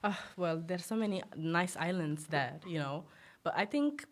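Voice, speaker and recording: A woman's voice: on the low side, normal speed. A young woman, born in Greece and raised in Romania, chatting easily as a guest with lots of fillers and laughter. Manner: easygoing, many fillers, laughs often. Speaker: guest musician. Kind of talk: casual interview chat.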